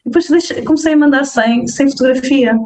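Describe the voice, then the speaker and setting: A woman's voice: high-pitched, fairly quick, a little hiss or hum. Chatty northern Portuguese woman, roomy sound. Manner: lively, chatty, full of fillers and rhetorical checks. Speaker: guest. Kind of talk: casual interview conversation. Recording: roomy echo.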